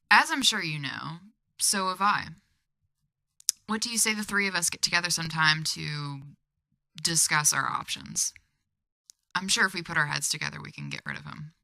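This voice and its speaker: Woman playing low, sharp, scheming Baron. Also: no echo, heavily acted. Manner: measured, formal, deliberate. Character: composed, scheming Baron with a menacing politeness. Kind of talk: audio drama acting, voicemail message.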